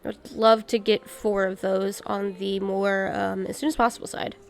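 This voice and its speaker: A woman's voice: mid-range voice, flat delivery, moderate pace, vocal fry, faint music behind. A young adult woman giving casual commentary as she streams a video game playthrough.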